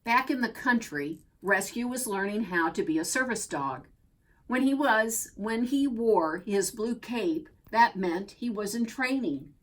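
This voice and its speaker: A woman reading a children's picture book aloud as a storyteller, expressively and deliberately, drawing out key words. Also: on the high side, expressive. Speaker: storybook reader.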